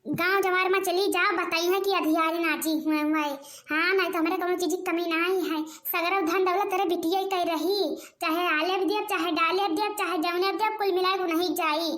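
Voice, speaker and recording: A performer voicing an excitable, gossipy, argumentative village woman in a comic Awadhi cartoon, with a rustic Awadhi accent. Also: very high-pitched, normal speed. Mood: boastful, insistent. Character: excitable rural Awadhi village women haggling over a wedding match.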